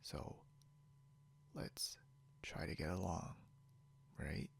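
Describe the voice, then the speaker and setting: A man playing a possessive, snarky, non-human captor in an ASMR roleplay, speaking softly and deliberately, often close to a whisper, with smug condescension. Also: sits low, full character voice. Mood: smug, controlling. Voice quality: soft, breathy, often near whisper. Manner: smug, teasing, slow and deliberate.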